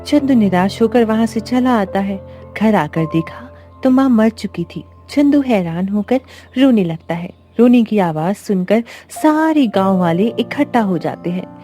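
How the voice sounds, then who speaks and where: Woman narrator with a bright-leaning soft mellow delivery. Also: medium pitch, lively intonation, a little slow. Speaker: story narrator. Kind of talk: scripted narration of an animated moral story. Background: background music.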